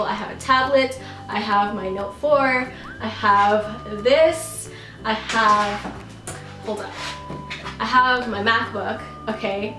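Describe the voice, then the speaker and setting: A woman's voice: mid-range voice, roomy sound. A young woman from the Philippines who grew up in Canada, answering viewer questions in a chatty, giggly way full of "like"s and restarts. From Philippines, raised in Canada. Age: young adult. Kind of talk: casual chat.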